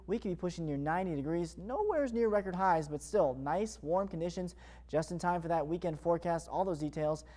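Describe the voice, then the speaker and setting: A man's voice: fairly high pitch, lively intonation, fairly quick, warm tone. An American man presenting a morning television weather forecast in an upbeat, fluent presenter's delivery. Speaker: television meteorologist.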